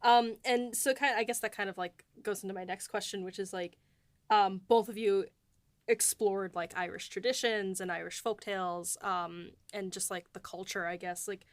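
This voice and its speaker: A woman's voice: medium pitch, fairly even intonation, normal speed, dry recording. Chatty woman hosting a podcast. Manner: chatty, laughs easily, asks loose rambling questions. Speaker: host asking the writers questions. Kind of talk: casual podcast chat.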